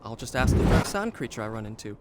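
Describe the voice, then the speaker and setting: A man's voice: medium pitch, big pitch swings, fast, very noisy, natural voice. An actor playing a proud, sardonic young Persian prince, telling his adventure in eloquent, formal, dramatic game dialogue. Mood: sardonic, indignant. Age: young adult. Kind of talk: dramatic video game voice acting and storytelling. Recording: music behind.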